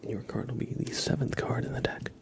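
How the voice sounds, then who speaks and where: A man's voice: medium pitch, flat delivery, fairly quick, creaky, background noise. A man hosting a live ASMR stream, chatting to viewers with playful, murmured role-play patter. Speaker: livestream host.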